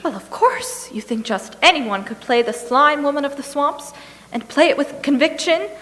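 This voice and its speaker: A woman playing a patient, efficient radio studio assistant in a stage comedy, calm, reassuring and practical. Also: high voice, moderate pace. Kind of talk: stage comedy about old-time radio. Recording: echoey hall with long reverb.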